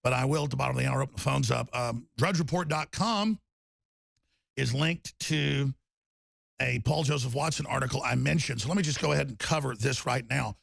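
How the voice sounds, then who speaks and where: A man hosting a talk radio show, raspy and torn-up in tone, rambling emphatically with loud bursts and low mutters. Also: a little fast, dry recording, clean studio sound. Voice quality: raspy, gravelly voice. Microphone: studio microphone.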